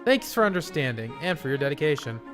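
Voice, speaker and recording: A man's voice: fairly high pitch, sing-song, fast talker. A man voicing an earnest young male prince who speaks kindly and directly, with game music behind.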